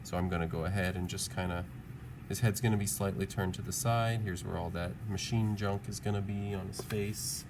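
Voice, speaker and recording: A man's voice: sits low, steady tone, a little fast. A man giving a casual drawing tutorial, thinking aloud in an informal, encouraging, musing way as an art teacher. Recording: clearly audible background noise.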